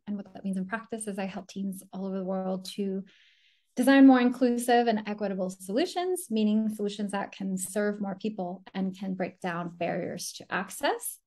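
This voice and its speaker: A woman's voice: medium pitch, darkish tone. A woman, raised in the US after a Colombian birth, explaining her work as an inclusive design consultant thoughtfully in a video call interview from home. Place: home room indoors. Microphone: laptop mic on a video call.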